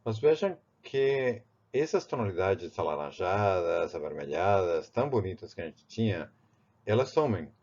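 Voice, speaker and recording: Man, Spanish accent, low-pitched, halting art lesson with restarts, dull lo-fi sound. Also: sing-song, darkish tone. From Spain, Barcelona. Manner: halting, with frequent restarts and fillers. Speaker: art teacher. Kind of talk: step-by-step art tutorial.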